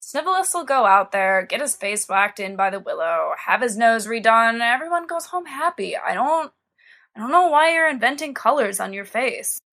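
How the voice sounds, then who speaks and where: A sly, careless teenage schoolboy from a storybook, acted by a woman reader. The voice is fairly high and bright, swooping up and down as he mocks and scoffs. Everything comes out flippant and cocky. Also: lively intonation, normal speed. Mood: breezy, dismissive. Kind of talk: dramatised fan-fiction audiobook reading.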